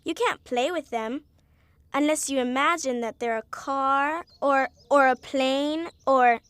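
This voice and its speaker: Stubborn young female cartoon character: high, dry studio sound, matter-of-fact, aloof and dismissive. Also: measured pace, a bit bright, no echo. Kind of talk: animated kids' cartoon dialogue.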